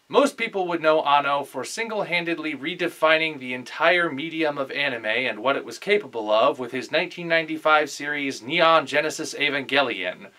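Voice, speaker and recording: A man's voice: a little high, pushed and bright, poor audio. Man in his thirties, video host, scripted comedic commentary, speaking to camera indoors. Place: small furnished room indoors.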